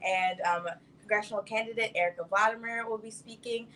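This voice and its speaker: A woman's voice: fairly high pitch, fairly even intonation, fairly quick, bright, forceful tone, rough recording. A young woman speaking as an activist guest, informally announcing and explaining in youthful, casual phrasing. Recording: faint background ambient noise.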